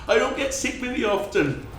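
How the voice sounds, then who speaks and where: A man's voice: extremely high pitch, lively intonation, measured pace, fairly bright tone, faint music behind. A middle-aged man from Wales with a Welsh accent, talking casually and rambling as he films his travels. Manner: chatty, rambling, self-deprecating. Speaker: travel vlogger. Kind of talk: casual vlog narration. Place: indoor room in a guest house. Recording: echoey with background noise.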